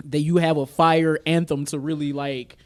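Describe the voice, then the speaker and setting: A man with a voice a little higher than most men's, chatting as a podcast co-host. He talks informally, with plenty of slang and an agreeable manner. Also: level tone, normal speed. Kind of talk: casual chat about music, films and sports.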